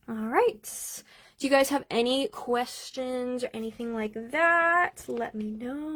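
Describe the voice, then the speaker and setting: A woman's voice: a little high, vocal fry, breathy edge. A woman hosting a cake decorating livestream, chatting casually with lots of ums while she is losing her voice. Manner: chatty, many ums, reads out viewer comments.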